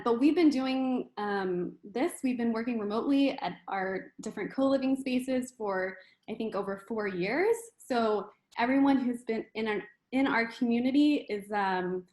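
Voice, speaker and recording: Woman moderating an online panel, voice a bit on the high side, echoey room. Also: moderate pace, darkish tone. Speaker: panel host, community manager. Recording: roomy, echoing sound.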